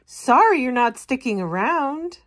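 A woman's voice: sits high, sing-song, measured pace, fairly bright tone, pitched above the performer's own voice, exaggerated voice. A woman voicing friendly, encouraging woodland plants in a children's storybook.

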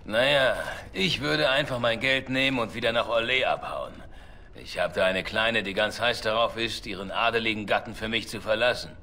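Bright-voiced, wry roguish elf. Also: male voice, lowish voice, moderate pace, slight background noise. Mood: matter-of-fact. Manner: dry, wry. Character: roguish elf man, cynical, flirtatious outlaw. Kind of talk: dramatic video game dialogue.

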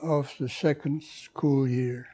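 Slow older man, mellow voice. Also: mid-range voice, steady tone, husky. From Netherlands. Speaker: interviewee. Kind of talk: oral history interview. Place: living room, seated on a sofa.

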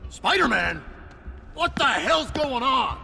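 A tough middle-aged brawler-politician for a video game. He is blunt and combative, with a very gravelly voice pushed high, over music. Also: very animated, slow pace, exaggerated voice. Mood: bewildered, gruff. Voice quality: gruff, gravelly. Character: tough brawling male mayor, gruff and combative. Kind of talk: video game fighter acting. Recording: music behind.

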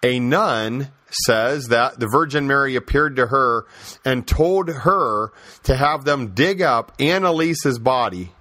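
A man's voice: medium pitch, sharp tone, dry recording. A man hosting a podcast, giving opinionated religious commentary and storytelling in an emphatic, conversational way, with repeated phrases and rhetorical questions. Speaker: podcast host.

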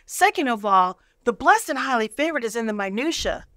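A middle-aged American woman with a voice on the higher side speaks as an interview guest. She tells personal stories with emphasis, voicing both sides of conversations. The studio sound is close and dry. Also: big pitch swings, fairly quick, bright, forceful tone, dry recording. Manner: emphatic, dramatizes quoted dialogue. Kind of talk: animated personal storytelling in a TV interview. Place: indoor TV studio.

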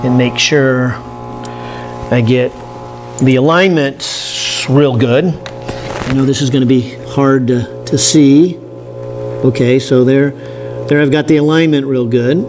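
A man, giving an informal, halting lab demonstration as an instructor, thinking aloud. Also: medium pitch, music in the background, rough recording. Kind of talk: informal demonstration lecture at a whiteboard. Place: indoor classroom lab. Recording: steady room noise, classroom camera audio.

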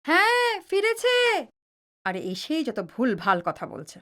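Woman acting a high-voiced, expressive mother. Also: measured pace, exaggerated voice. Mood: chiding. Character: caring, chiding middle-aged mother and wife. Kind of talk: audio drama acting.

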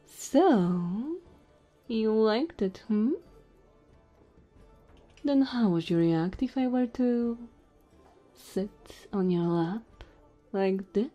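A woman voicing a tipsy, flirty goblin innkeeper in an intimate ASMR roleplay, soft and teasing with hesitant pauses. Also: mid-range voice, lively intonation, muffled recording, faint music behind, voice pushed up. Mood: surprised, coy.

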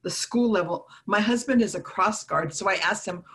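A woman's voice: mid-range voice, moderate pace, fairly bright tone. A middle-aged woman speaks earnestly as a community organizer on a panel. She talks thoughtfully, often restarting her phrases. Her voice comes through earbuds on a video call. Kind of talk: panel discussion remarks. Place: room at home, orange wall. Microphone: earbud headset on a video call.

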